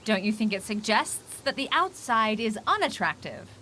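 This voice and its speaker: An actress plays a driven, prickly professional woman in a romantic comedy radio play. Her voice sits fairly high, and she delivers her lines bluntly and dryly, with little patience. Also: big pitch swings, moderate pace, pushed and bright, slight background noise. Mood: guarded. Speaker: lead actor and first-person narrator.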